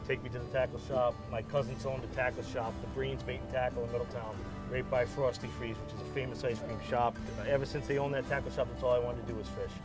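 Middle-aged man, charter captain, practical and halting, mid-pitched, music behind. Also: level tone. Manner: plain-spoken, practical, halting restarts. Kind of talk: casual conversation. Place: small open fishing boat at sea.

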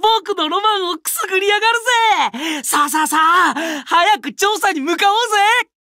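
A man voicing an excitable young dog-man inventor in an anime mobile game, eager and boyish with punchy exclamations. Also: very high-pitched, normal speed, no room sound, studio quality, full character voice. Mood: excited, eager.

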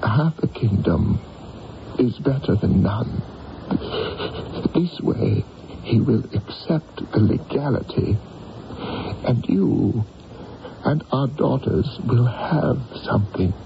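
An actor playing a gentle, trusting, dying king in a radio drama, speaking weakly. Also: medium pitch, lively intonation, takes it slowly, creaky, pauses often. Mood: weak, earnest. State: weak, dying delivery. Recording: dull, noisy vintage radio recording.

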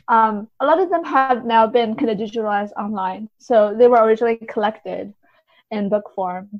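A woman's voice: on the high side, no room sound, dull audio. A woman giving conversational interview answers as a podcast guest, explaining things in a thoughtful, tentative way.

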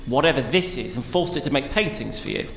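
A man's voice: medium pitch, animated, brisk, creaky. A man playing a blunt, matter-of-fact adventurer. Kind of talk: audio drama acting. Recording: roomy reverb with loud music behind.

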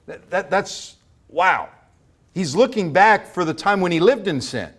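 A man's voice: high voice, animated, a little slow, a bit bright. A middle-aged American man preaching a church sermon, emphatic and repetitive, asking rhetorical questions. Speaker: pastor preaching. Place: church sanctuary, at a pulpit before a congregation.

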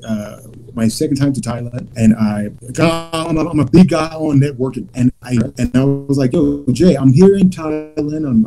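A man's voice: mid-range voice, warm tone, low-quality recording. A Liberian-born man on a video call, talking casually as a guest and rambling, with repeated words and lots of fillers. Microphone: laptop webcam on video call.

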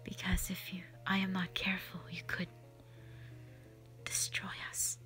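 A woman voicing a gentle, protective elder dragon in a slow, solemn, whispered audio roleplay monologue, full of sorrow. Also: lowish voice, monotone. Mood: fearful, grieving. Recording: music behind the voice.

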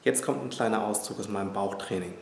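A man's voice: on the low side, fast talker, gravelly. A man in his thirties, a personal trainer, casually touring his small gym and talking to viewers as friends. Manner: relaxed, chatty, addressing viewers as friends. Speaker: personal trainer and fitness vlogger. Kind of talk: casual vlog tour and update. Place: small private gym, indoors. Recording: echoey room with faint background hum.

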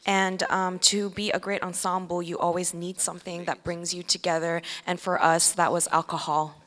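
A woman interpreting on a cinema stage, with a measured, steady delivery and soft fillers, speaking into a handheld mic. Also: fairly low pitch, crowd noise. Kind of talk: consecutive interpretation. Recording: echoey cinema hall.

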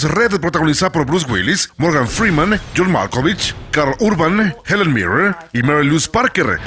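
Bright upbeat male host. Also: on the high side. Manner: upbeat announcer read. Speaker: entertainment show host. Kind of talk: scripted movie-trailer roundup.

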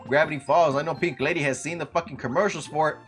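A man's voice: fairly high pitch, fairly even intonation, fast talker, a bit bright, faint music behind. A young man live-streaming a video game from home, chatting loosely and cursing as he talks to his chat. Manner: loose, profane, talks to chat, jokes around. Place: home room, seen on webcam.

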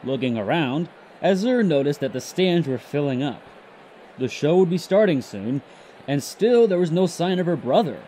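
A man's voice: a little high, expressive, moderate pace, warm tone, a little hiss or hum. A man narrating a scripted story between lines of character dialogue.

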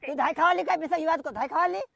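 A woman's voice: sits high, very fast, vocal fry, fairly bright tone. A woman in a heated argument on a phone call, scolding abusively and repeating herself.

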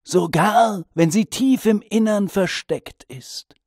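Woman voicing a slow, wise old man. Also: mid-range voice, a little mellow, husky. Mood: solemn, knowing. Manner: slow, solemn. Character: wise old man, grave and knowing mentor.

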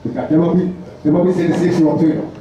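A man's voice: a little high, racing, over music, close to an everyday voice. A Vincentian man playing a wary, superstitious veteran whaler in a live stage dramatization, with an insistent, repetitive way of talking. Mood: uneasy. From St. Vincent and the Grenadines. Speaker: performer in a folk whaling troupe. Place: festival tent stage, far camera. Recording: distant, very echoey, muffled festival tent recording.

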